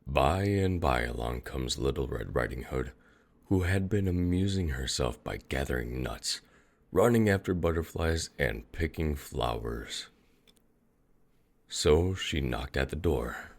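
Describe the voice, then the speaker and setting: A man narrating a fairy tale as a storyteller, in a measured, atmospheric manner. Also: a bass voice, lively intonation, creaky.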